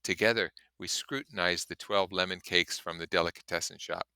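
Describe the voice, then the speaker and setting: A man's voice: deep voice, fairly even intonation, fairly quick, pushed and bright, clean tone, no room sound. A man reading a story aloud in an audiobook style, with casual commentary of his own. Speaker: reader and commentator.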